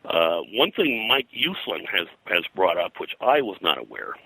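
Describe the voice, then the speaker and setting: An older man reminiscing as an interview guest in a halting, digressive way, often clearing his throat. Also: deep voice, sing-song, pushed and bright, no echo, low-quality recording. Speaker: interview guest, comics historian.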